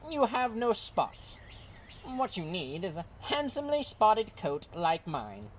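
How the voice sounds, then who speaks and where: A woman voicing a vain, polite male leopard in a storybook, measured and showy. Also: mid-range voice, sing-song, a little hiss or hum, pitched above the performer's own voice. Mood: smug.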